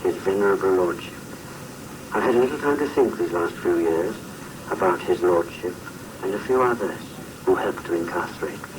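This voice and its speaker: Low, menacing ex-convict. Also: male voice, lively intonation. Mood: brooding menace. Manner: deliberate, darkly joking. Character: vengeful ex-convict forger, menacing and theatrical. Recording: distant, noisy old film soundtrack.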